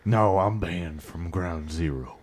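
A man acts out a folksy bayou character with a lowish voice and a slow Louisiana drawl. He is pushy and easily aggrieved, an oddball straight out of an improvised comedy interview. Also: darkish tone. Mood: folksy, relaxed.

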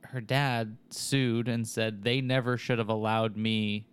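A man co-hosting a podcast, talking quickly and joking in casual chat. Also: mid-range voice, little pitch movement, smooth.

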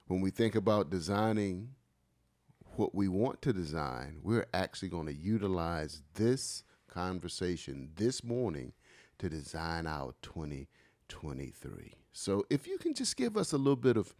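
Deep-voiced lively male co-host. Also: no echo. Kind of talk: radio show hosting.

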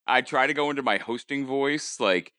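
A man with a Rhode Island accent hosting a podcast interview, speaking casually with halting restarts and repeated words. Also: medium pitch, pushed and bright, clean tone, no room sound, studio quality. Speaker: podcast host.

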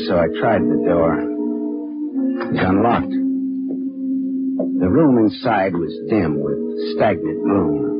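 An actor playing a tough, wry American private detective, deadpan and wisecracking, in hardboiled 1940s radio drama style. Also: male voice, deep voice. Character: wry, tough male private detective narrating his case. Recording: muffled old radio broadcast with music.

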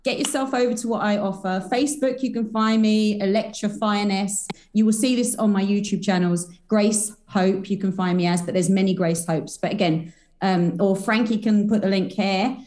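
A woman's voice: medium pitch, fairly even intonation, normal speed, darkish tone. A woman, a guest on a podcast, chatting in a bubbly, rambling way full of spiritual talk. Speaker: podcast guest, energy healer. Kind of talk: casual podcast chat.

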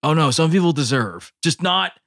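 A man's voice: fairly high pitch, lively intonation, normal speed, fairly bright tone, dry recording, clean studio sound. A man playing in a tabletop game, improvising casual role-play chat.

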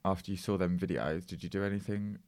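A man's voice: deep voice, steady tone, quick pace, darkish tone, no room sound. A man interviewing a guest, asking plain questions and sometimes repeating himself as he asks.

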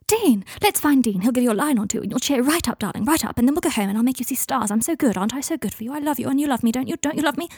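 A woman's voice: high voice, expressive, rapid-fire, few pauses, a little mellow, husky, no room sound, studio quality, pitched above the performer's own voice, full character voice. A woman voicing a brittle, giddy young man on drugs who gushes theatrically in an audiobook story. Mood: desperate gushing. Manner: theatrical, gushing, calls people darling. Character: giddy, brittle, high-pitched drugged young man, falsely gushing.

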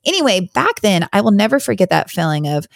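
A hoarse woman hosting a podcast, talking in a candid, chatty, rambling way on her own. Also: medium pitch, sing-song, fast talker, no room sound, clean studio sound. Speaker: podcast host. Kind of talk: casual solo podcast chat.

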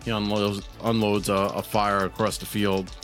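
A man narrating a tabletop roleplaying game as game master, in a measured, descriptive way with pauses. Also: fairly low pitch, little pitch movement, fairly bright tone, faint music behind.

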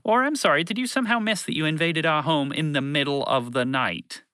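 A man's voice: fairly high pitch, very animated, brisk, pushed and bright, no echo, studio quality, voice pushed up. A man voicing a condescending, argumentative alien creature in a children's story, unhelpful and easily offended. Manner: condescending, argumentative, casual phrasing.

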